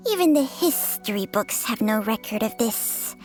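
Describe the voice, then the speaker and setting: A woman voicing an ancient, proud, sharp-tongued female spirit creature in a video game, haughty and wry as she lectures. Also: high voice, expressive, takes it slowly, faint music behind. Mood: dismissive.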